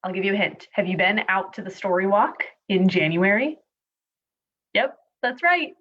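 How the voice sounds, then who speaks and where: A woman's voice: medium pitch, expressive, normal speed, fairly bright tone, muffled recording. A woman hosting an online children's story time, giving an introduction and putting questions to young viewers. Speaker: library story time host.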